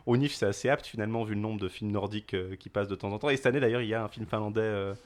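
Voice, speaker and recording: A man's voice: on the low side, racing. A young man hosting a casual chat show, joking and rambling with many restarts. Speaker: podcast host.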